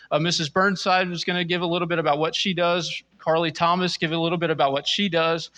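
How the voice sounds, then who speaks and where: A male pastor with a fairly high, bright, forceful voice preaches to his congregation. He is earnest and exhorting, building his point through repeated rhetorical questions. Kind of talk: sermon addressing a congregation.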